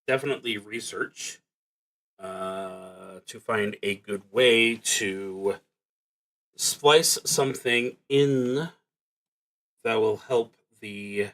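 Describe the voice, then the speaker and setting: A man livestreaming a solo roleplaying game, reading prompts and thinking aloud in a halting, dryly wry way. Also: lowish voice, a bit bright.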